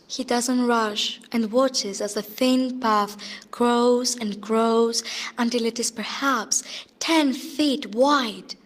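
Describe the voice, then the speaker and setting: Poetic female storyteller, high voice, slow deliberate pace, scripted audio drama narration. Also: steady tone. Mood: wondering, hushed. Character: lyrical female storyteller of a fairy-tale adventure.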